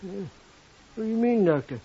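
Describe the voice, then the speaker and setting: A wary, blunt janitor in a 1950s radio play. His voice is husky and a little high, with folksy, plain phrasing. The recording is dull and dated. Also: animated, moderate pace, mellow, exaggerated voice. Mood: wary, flat. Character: plain-spoken, wary medical college janitor, male. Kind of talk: 1950s radio drama acting.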